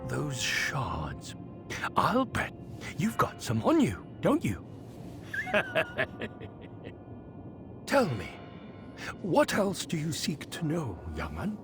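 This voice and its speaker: An actor playing a sly shapeshifting deity posing as a wandering bard in a video game, speaking in a theatrical, cryptic, teasing manner. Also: male voice, a little high, wildly swooping pitch, extremely slow, long pauses, over music, exaggerated voice. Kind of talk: scripted video game dialogue acting.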